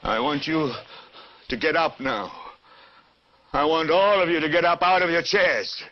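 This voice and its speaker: An actor playing a furious, prophetic TV news anchor, ranting in oratorical, repeating cadences like a zealot in a film monologue. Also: male voice, fairly high pitch, a little slow, muffled recording, lightly acted. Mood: urgent fury.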